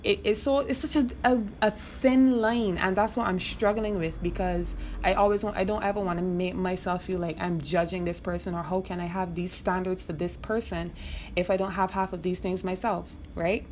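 A woman's voice: lowish voice, a little mellow, slight background noise. A young woman with a Barbadian accent hosting a podcast, talking frankly and casually, swearing freely and often asking "you know what I mean.". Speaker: podcast host. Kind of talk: casual monologue.

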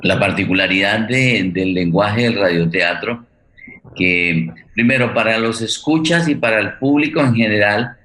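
Bright-voiced, low-pitched man. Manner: halting, repeats words as he formulates. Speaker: forum host. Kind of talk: conversational forum moderation.

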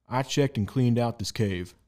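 Guy doing a stern practical cowboy in an audio drama, curt, in charge, medium pitch. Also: mellow. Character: stern, practical Wild West man, wary protector. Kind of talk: amateur audio drama acting.

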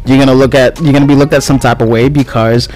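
A man of Cuban heritage in casual conversation, talking animatedly with quick asides and sudden jumps up in pitch when quoting others. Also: soft background music, rough recording. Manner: animated, fast asides, voice jumps up for quoted remarks. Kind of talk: casual chat.

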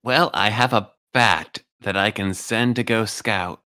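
Guy playing d&d, talks slow, smooth clear voice. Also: lowish voice, pushed and bright, no echo, clean studio sound. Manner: slow and deliberate, reasoning aloud. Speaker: player. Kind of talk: casual tabletop play.

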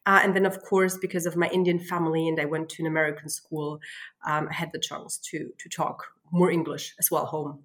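A woman in her thirties from Spain, speaking as a podcast guest. She talks with many fillers and hesitations and laughs often. Her voice sits a little low. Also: flat delivery, a little fast, a bit bright. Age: 30s. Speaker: financial planner, guest. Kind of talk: interview guest telling her story.

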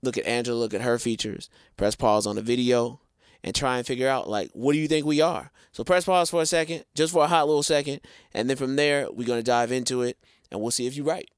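A man co-hosting a relaxed podcast, his voice smooth and resonant at an ordinary middle pitch. He talks in a lively way and addresses viewers directly, with slang. The sound is close and dry, with no room around it. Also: fast talker, dry recording. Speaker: podcast co-host. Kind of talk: casual podcast chat.

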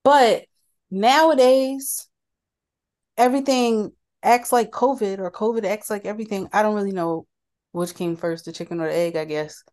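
A woman co-hosting a podcast in a casual chat, talking about her cold, animated and laughing often. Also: mid-range voice, moderate pace. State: has a cold. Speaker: podcast co-host.

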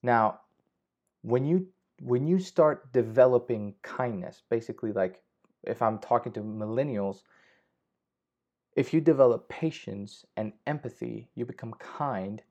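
A man's voice: medium pitch, expressive, a little slow, dark tone. Rambling, swearing young man podcaster. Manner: rambling, profane, frequent restarts. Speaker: podcast host. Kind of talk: casual solo podcast monologue. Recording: slightly dull lo-fi audio.

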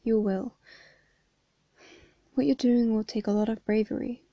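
A woman with a hoarse voice reading the lines of a kind mother figure, tender and encouraging. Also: on the high side, steady tone, unhurried, mellow, no room sound, dull audio.

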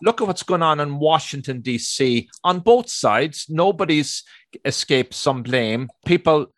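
A man's voice: fairly high pitch, expressive, a little slow, a bit bright, dry recording, clean studio sound. A man with an Irish accent hosting an interview in a chatty way, stretching out the words he wants to stress. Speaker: host.

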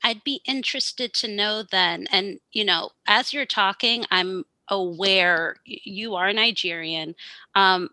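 A woman's voice: mid-range voice, takes it slowly, pushed and bright, no room sound, rough recording. A woman interviewer asking curious, thoughtful questions in a conversational way.

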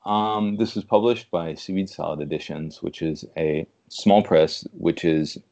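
A man speaking as a literary translator in a conversational interview, thoughtful and halting in a measured way. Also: low-pitched, a little mellow. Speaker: podcast guest, literary translator.

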